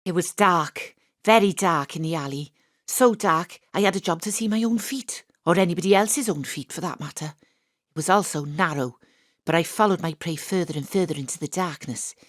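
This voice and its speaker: A woman's voice: fairly low pitch, moderate pace, dry recording, lightly acted. A woman playing a wry, hard-boiled private detective, narrating her case dryly in a noir audio drama. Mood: wry, gritty. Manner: wry, dry first-person storytelling.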